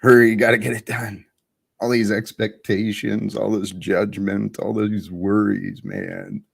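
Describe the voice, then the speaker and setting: A man hosting a livestream, talking slowly and thoughtfully about his life with many pauses. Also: fairly low pitch, creaky. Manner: slow, thoughtful, pausing. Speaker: livestream host. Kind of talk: reflective livestream talk.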